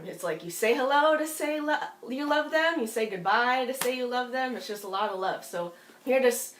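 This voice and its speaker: A woman's voice: a little high, fairly bright tone. A woman from Hawaii, a musician, chatting casually between songs in a rambling way, with restarts and light laughs. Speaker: musician and performer. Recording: roomy echo with faint ukulele.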